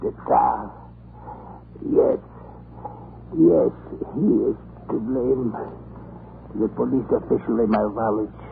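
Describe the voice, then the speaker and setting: An actor in an old radio drama playing a broken, half-mad life convict, halting, muddled and fervent about his grievance. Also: lowish voice, lively intonation, vocal fry, exaggerated voice. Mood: bitter, obsessed. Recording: scratchy muffled vintage radio broadcast.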